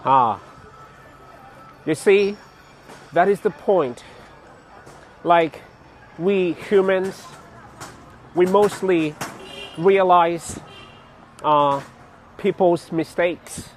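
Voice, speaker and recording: A young man from Cameroon chatting casually to his vlog viewers outdoors on a city street, laughing often and pausing with fillers. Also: high voice, soft background music. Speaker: vlogger. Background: faint street traffic.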